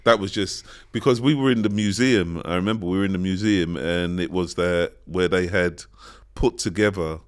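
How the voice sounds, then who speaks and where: British male podcast co-host, deep register, animated storyteller. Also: normal speed, few pauses. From Britain. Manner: animated storytelling, acts out quoted lines. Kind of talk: casual chat. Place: studio.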